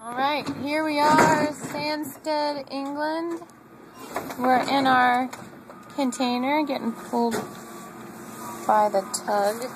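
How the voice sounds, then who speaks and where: A woman's voice: steady tone, takes it slowly, pauses often. A woman giving a casual, chatty live video diary in short offhand phrases as she travels as a horse groom. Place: airport tarmac and inside a cargo horse crate. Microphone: handheld camera mic held by the speaker. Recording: loud engine and vehicle rumble, handheld camera. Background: loud engines, vehicles and rolling cargo noise.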